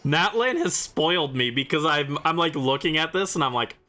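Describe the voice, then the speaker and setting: A man's voice: on the high side, animated, moderate pace, pushed and bright. A man hosting a livestream, chatting casually while he plays a game. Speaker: livestream host. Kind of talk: casual game stream chat, reading dialogue aloud.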